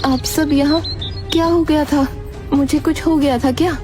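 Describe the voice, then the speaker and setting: A concerned family woman in a cartoon tale, put on by a female narrator. The voice is lifted high and earnest, with music clearly behind it. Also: fairly even intonation, moderate pace, close to an everyday voice. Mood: confused.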